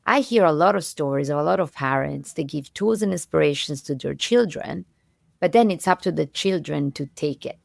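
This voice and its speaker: Female podcast host, lowish creaky voice, expressive conversational interviewer, close dry sound. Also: normal speed, no room sound.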